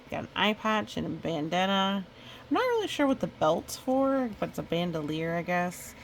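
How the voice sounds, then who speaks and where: A woman reading a picture book aloud in a chatty, expressive way, with casual asides. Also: fairly low pitch, moderate pace, gravelly, a little hiss or hum. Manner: chatty, expressive storytelling with playful sound effects. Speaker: storybook reader.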